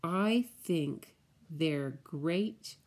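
A middle-aged woman reads a picture book to little children in a fairly low voice. Her delivery is very slow and deliberate, with words drawn out and simply explained, as a preschool teacher would at story time. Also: lively intonation, a little mellow. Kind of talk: picture-book read-aloud for small children. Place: indoors, room at home with window blinds.

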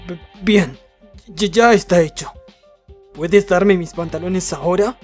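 A man's voice: high voice, slow, faint music behind. A man playing a timid, embarrassed teenage boy in an anime fandub, hesitant and stammering, with a Latin American accent. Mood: nervous. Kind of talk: anime comic fandub acting.

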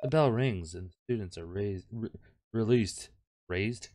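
A man's voice: fairly low pitch, measured pace, very noisy. A man hosting a gaming video, reading a visual novel aloud in a mock-dramatic way and breaking off for joking asides. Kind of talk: comic read-aloud of game text with joking asides. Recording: music playing behind. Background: loud background music.

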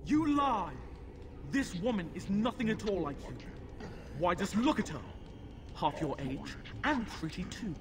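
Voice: medium pitch, expressive, measured pace, background noise. A performer playing a demon-possessed young boy in a fantasy game, haughty, mocking and commanding. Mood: scornful.

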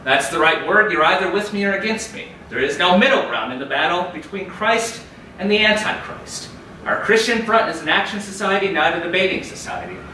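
A man lecturing from the front of a dark conference room. The echoey, roomy sound comes from a camera far across the room, with steady background noise. His voice climbs and gets louder whenever he reads a quote aloud. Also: brisk. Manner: raises pitch and volume when reading quotes aloud. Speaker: keynote speaker.

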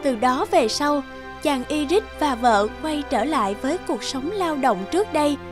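A woman's voice: high voice, lively intonation, normal speed. A woman narrating a scripted fairy tale for a cartoon. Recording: music under the voice.

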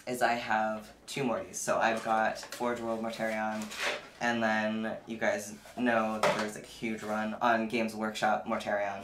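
Young adult vlogger, informal haul presenter, medium-pitched voice, roomy home recording. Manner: informal, chatty. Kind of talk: casual unboxing chat to camera. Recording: roomy echo, clear background noise, clicks.

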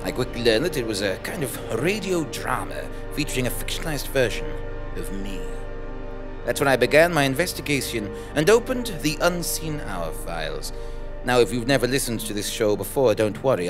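A man's voice: medium pitch, sing-song, normal speed, music in the background, close to an everyday voice. A man playing an earnest, self-important investigative journalist, narrating a podcast parody on stage in a pompous, dramatic way. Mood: grandly earnest. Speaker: narrator and lead actor. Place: small theatre stage, live show.

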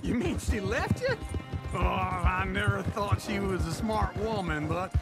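Scruffy old Wild West layabout: high-pitched, slow, teasing rural American drawl, music behind. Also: male voice, lively intonation. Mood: mocking. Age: older. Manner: folksy, jeering, theatrical complaining. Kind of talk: video game western acting.

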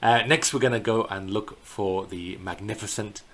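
A middle-aged man giving an unscripted slideshow narration as a tour guide, in a hesitant, halting way, recorded indoors on a computer microphone. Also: lowish voice, fairly even intonation, fairly bright tone. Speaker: bird tour guide presenting a virtual tour. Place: indoors in a small plain room. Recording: clear background noise.

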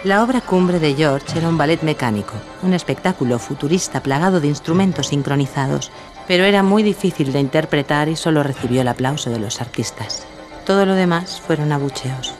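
A woman's voice: sits low, fairly quick, natural voice. Woman playing a poised, proud film diva over music. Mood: reminiscing, proud. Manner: poised, confident, seductive storytelling. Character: self-assured glamorous 1940s film star woman, witty and proud. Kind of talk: scripted audio drama acting and narration.

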